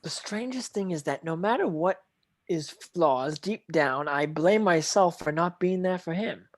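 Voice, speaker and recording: A man playing an earnest businessman in a scripted table reading, reading in a halting way with repeated words. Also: a little high, expressive. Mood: remorseful. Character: earnest businessman giving orders, remorseful son.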